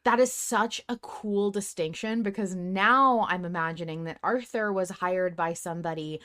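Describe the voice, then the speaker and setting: A woman's voice: medium pitch, moderate pace, a bit bright. Emphatic woman podcaster in her thirties. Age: 30s. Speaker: podcast host. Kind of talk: casual fan discussion podcast.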